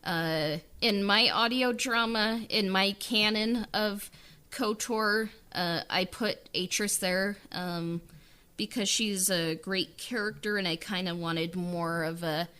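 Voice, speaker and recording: A woman's voice: medium pitch, slow, sharp tone, clear, resonant tone. A woman co-hosting a podcast, talking in a rambling casual way with many fillers about writing an audio drama. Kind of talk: casual behind-the-scenes chat.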